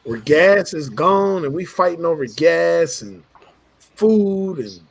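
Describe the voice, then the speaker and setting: A man's voice: fairly high pitch, animated, slow, vocal fry. A man in a casual group chat, laid-back and joking, laughing often.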